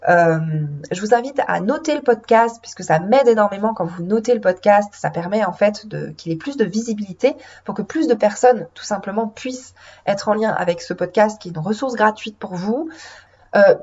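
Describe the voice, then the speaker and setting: A woman's voice: medium pitch, animated, moderate pace, a little mellow, slightly rough. A French woman with a cold, hosting her podcast alone and talking at length with many fillers and restarts. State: has a cold. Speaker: podcast host and intuition coach. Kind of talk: solo podcast monologue. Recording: dull lo-fi podcast audio.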